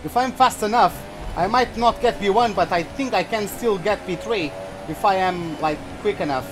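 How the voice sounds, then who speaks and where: A young man from Portugal with a high voice talks over his racing game in a loose, rambling way. Game music plays clearly behind him. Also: moderate pace, low-quality recording. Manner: loose, rambling, swears when startled. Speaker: gaming channel host. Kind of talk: casual commentary while racing.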